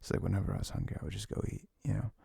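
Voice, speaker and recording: A young man with a low, heavily creaky voice speaks close and intimate, as in casual boyfriend ASMR. His tone is dark and soft, often sinking toward a whisper. He rambles haltingly, unscripted, circling back on his words. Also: fairly even intonation, dry recording. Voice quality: low, gravelly, breathy, often dropping near a whisper. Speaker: ASMR audio creator playing a comforting boyfriend.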